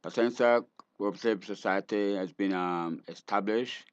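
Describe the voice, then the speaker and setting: A man's voice: on the low side, moderate pace, muffled recording. A man from Belize with a Belizean accent, speaking plainly and hesitantly as a radio interview guest. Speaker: guest, fisherman. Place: radio studio.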